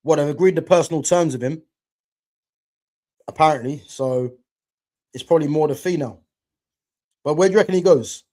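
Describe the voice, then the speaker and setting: A young man from England hosts a live football watch-along, talking loosely to his viewers with plenty of slang and restarts. His voice sits fairly high for a man. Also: normal speed, long pauses, warm tone. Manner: chatty, slangy, talks to chat, restarts often. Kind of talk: casual football chat with viewers.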